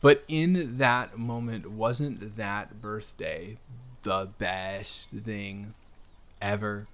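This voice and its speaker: A man playing a friendly, flirty stranger in an improvised audio roleplay, talking in a rambling, hesitant, earnest way with gentle teasing. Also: fairly low pitch, very slow. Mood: earnest, reassuring. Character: cute friendly male stranger at pumpkin patch, wholesome, flirty, reassuring. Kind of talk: improvised intimate audio roleplay spoken to the listener.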